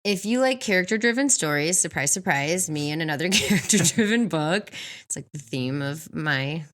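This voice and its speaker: A woman in her forties co-hosting a book podcast, reviewing in an expressive, chatty way with frequent laughter. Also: deep voice, normal speed. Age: 40s. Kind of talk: book review chat, partly prepared notes.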